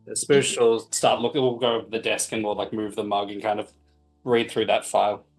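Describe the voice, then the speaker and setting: A man with a fairly low voice talks through a tabletop roleplaying game. He is casual but wordy and a bit dryly pedantic, and there is faint music behind him. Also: level tone, a little fast, poor audio. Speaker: player.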